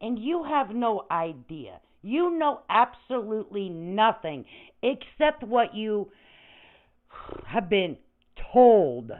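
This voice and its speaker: Female reaction host: combative, sarcastic, sweary, very lively pitch, slightly lo-fi streaming sound. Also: takes it slowly. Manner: confrontational, sarcastic, foul-mouthed. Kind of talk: mocking commentary on another creator's stream.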